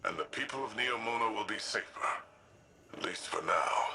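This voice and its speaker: A man playing a grave, vigilant military defender in a video game, serious and measured. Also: lowish voice, vocal fry, heavy background noise. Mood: concerned. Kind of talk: video game radio-briefing dialogue.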